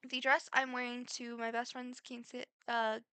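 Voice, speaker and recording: Bright-voiced Midwestern teen girl, chatty. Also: fairly high pitch, level tone, unhurried, smooth, no room sound. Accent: Midwestern American. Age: teens. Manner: chatty, rambling, full of fillers. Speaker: vlogger. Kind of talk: casual get-to-know-me Q&A.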